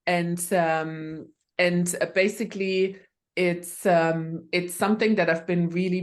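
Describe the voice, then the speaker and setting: A woman from Germany, a guest on a podcast, explaining her work in a conversational, hesitant way with many fillers and restarts. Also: fairly low pitch. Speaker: guest expert.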